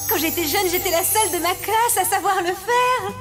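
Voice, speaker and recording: A woman voicing a doting, excitable mother in an animated series, gushing sentimentally. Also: very high voice, moderate pace, loud music behind the voice. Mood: excited. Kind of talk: French animated series dialogue.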